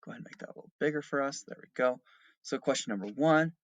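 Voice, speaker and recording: A man's voice: medium pitch, fast, no echo. A man teaching seventh grade remotely, giving step-by-step instructions and explanations and often checking in with okay and all right. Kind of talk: remote lesson instructions and read-aloud.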